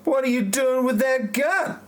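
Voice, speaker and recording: A stilted, awkward man of melodrama, played by a male actor in a parody audio play. His pace is slow and halting. His pitch swoops around a great deal. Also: animated. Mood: alarmed.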